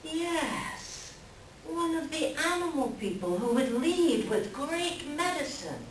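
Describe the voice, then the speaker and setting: A woman playing an excitable, indignant raven with theatrical exclamations and harsh caws in a readers theater folktale. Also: sits high, slow, exaggerated voice. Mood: curious. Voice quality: harsh cawing outbursts. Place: library room at a live reading. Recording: roomy, distant live recording with noise.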